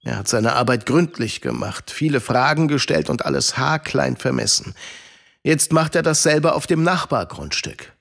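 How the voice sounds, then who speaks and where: A man's voice: mid-range voice, big pitch swings, normal speed, creaky. A man playing a kindly, patient grown-up storyteller explaining things to children in an audio drama.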